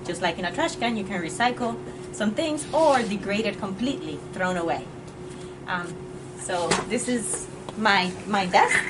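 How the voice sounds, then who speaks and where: Young woman, from the Dominican Republic, friendly lab tour guide, distant camera, steady background noise. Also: medium pitch. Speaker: grad student giving a lab tour. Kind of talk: casual explanatory lab tour. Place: university lab with benches and windows. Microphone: camera a few meters away.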